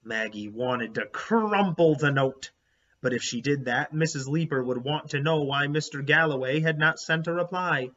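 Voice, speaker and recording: A man with a fairly high voice reads a children's book aloud in an expressive teacherly style. He leans on key words and speaks directly to young listeners. The recording is a little dull and lo-fi. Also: normal speed. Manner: animated, emphatic storytelling with questions to listeners.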